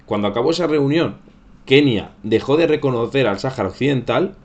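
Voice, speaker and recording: A man's voice: medium pitch, animated, fairly quick, lo-fi sound. A man with an accent from Spain hosts a livestream, commenting casually on current affairs and often repeating and rephrasing himself. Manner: conversational, repeats and rephrases phrases. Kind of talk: casual live news commentary.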